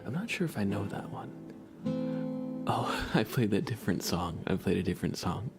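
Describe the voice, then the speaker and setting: A livestream host speaking softly, often in a whisper, chatting casually and gently with viewers in an ASMR style. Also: low voice, sing-song, normal speed. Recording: music behind the voice. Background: plucked guitar playing.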